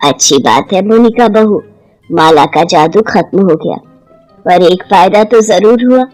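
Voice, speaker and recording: A woman voicing an older mother-in-law who is gentle, wise and protective, with a measured, reassuring manner, in a story. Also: medium pitch, faint music behind, pitched below the performer's own voice, close to an everyday voice, poor audio.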